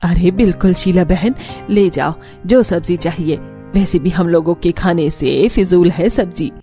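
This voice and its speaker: A woman's voice: on the low side, a little slow, mellow, faint music behind, voice pushed down, natural voice. A woman voicing a bossy, thrifty older mother-in-law in a cartoon family drama, with a matter-of-fact, commanding manner. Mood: pleased.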